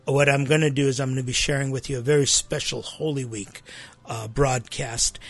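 Husky-voiced man hosting a faith talk show. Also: mid-range voice. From United States. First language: Armenian. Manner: conversational, often adds rhetorical tag questions. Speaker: host of a church talk show. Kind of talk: casual religious talk.

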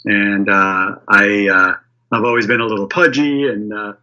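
Clergyman, 50s, bright sharp tone, slightly muffled audio, thoughtful interview answers. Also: on the low side, fairly even intonation, normal speed. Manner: thoughtful, restarts and hedges, laughs lightly. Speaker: primate of a diocese.

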